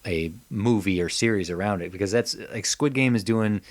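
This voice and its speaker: A man co-hosting a podcast, chatting casually and comedically as he pitches ideas. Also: moderate pace. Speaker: podcast co-host.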